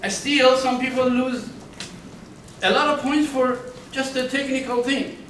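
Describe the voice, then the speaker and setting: High-voiced man lecturing in an echoey classroom. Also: steady tone, fairly bright tone. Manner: slow, deliberate, carefully enunciated with frequent restarts. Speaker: university lecturer. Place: university classroom. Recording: echoey classroom from far off, faint background noise.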